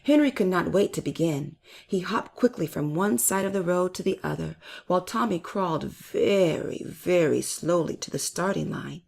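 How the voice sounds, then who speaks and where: Creaky-voiced woman reading to children. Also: on the low side, normal speed, a little mellow. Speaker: storyteller and channel host. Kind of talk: children's read-aloud narration.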